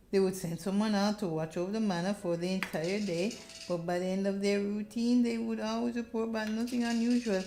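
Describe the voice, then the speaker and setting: A woman's voice: medium pitch, mellow, echoey room, slight background noise, pitched above the performer's own voice, close to an everyday voice. A woman voicing an anxious, desperate female client in a horror visual novel, pleading with urgent emotion. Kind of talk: visual novel character read-aloud.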